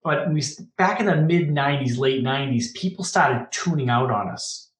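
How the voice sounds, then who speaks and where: A middle-aged man with a clear Boston accent tells stories animatedly, often breaking into a chuckle. His voice sits at an ordinary pitch. It carries a noticeable room echo. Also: normal speed. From Boston, USA. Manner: animated, chuckles through anecdotes. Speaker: podcast guest. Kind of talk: casual interview storytelling. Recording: roomy echo.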